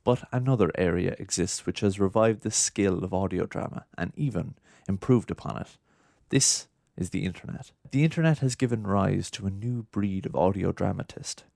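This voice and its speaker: A man's voice: low voice, normal speed, gravelly, darkish tone, dry recording, clean studio sound. A man reading scripted narration for a documentary.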